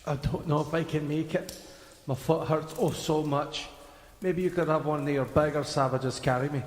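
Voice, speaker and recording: A man's voice: fairly high pitch, steady tone, normal speed, a little hiss or hum, lightly acted. A man voicing a childish, naive, whining sidekick in a comedic fantasy audio drama, with long echoing reverb. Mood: sheepish, complaining. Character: Skotrick, whiny dim childish injured male companion.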